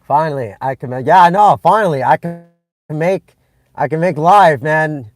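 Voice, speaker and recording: A young man from Vietnam chatting with live-stream viewers, excitable and rambling, with many restarts and fillers. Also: a little high, moderate pace. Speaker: channel host streaming live. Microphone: phone.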